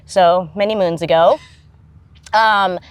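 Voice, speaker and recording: A woman's voice: lowish voice, fairly even intonation, slow pace, clear, resonant tone, no room sound. A woman in her thirties whose first language is Vietnamese, a stunt performer and martial artist chatting in a casual interview, jokey and self-deprecating, with lots of fillers and laughs.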